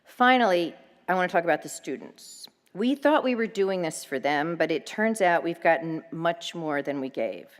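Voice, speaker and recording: A woman gives a prepared speech to an audience in a large hall, and her voice trails off in a long reverb. Her voice sits a little low, and her delivery is measured, formal and grateful. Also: lively intonation. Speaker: nonprofit leader giving an event speech. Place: event hall.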